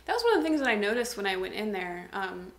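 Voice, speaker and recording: A woman's voice: medium pitch, very animated, fast talker, fairly bright tone. A young woman interviewing guests, asking questions in a friendly conversational way. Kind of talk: casual interview. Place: small studio on a couch. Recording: echoey room.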